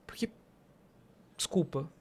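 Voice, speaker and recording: A woman interviewing in a casual, colloquial way, with informal, slightly halting phrasing. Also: a little mellow, in a whisper. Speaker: podcast interviewer.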